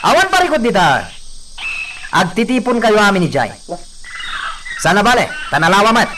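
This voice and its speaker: An actor playing a cruel, domineering young master who gloats menacingly in a radio drama. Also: male voice, high voice, big pitch swings, moderate pace, background noise. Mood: commanding. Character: cruel young master lording over mine workers.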